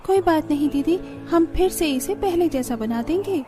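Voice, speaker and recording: A woman's voice: high-pitched, lively intonation, moderate pace, dark tone, faint music behind. A woman voicing an earnest, dutiful young daughter-in-law, caring but firm, in a cartoon story. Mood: concerned. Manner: earnest, pleading. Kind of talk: Hindi cartoon story voice acting.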